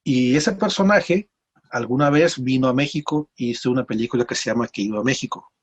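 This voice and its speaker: A man from Mexico with a Mexican accent, telling stories casually as an interview guest in a rambling, halting way with repeated words. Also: medium pitch, husky, dull audio. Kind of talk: casual conversational storytelling.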